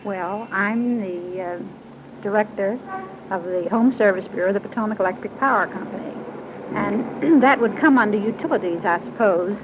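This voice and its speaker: A woman's voice: medium pitch, normal speed. American woman contestant on noisy 1930s radio. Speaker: quiz show contestant, business executive. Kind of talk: brief unscripted answers on live radio. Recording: muffled, noisy 1930s radio broadcast recording.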